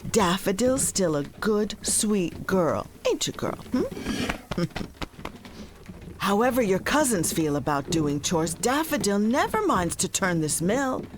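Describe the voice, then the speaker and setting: An actress playing a wise, teasing old village grandmother in an audio drama, with a folksy, unhurried way of talking. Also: mid-range voice, sing-song, noisy background. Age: older. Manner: folksy, unhurried, gently instructive.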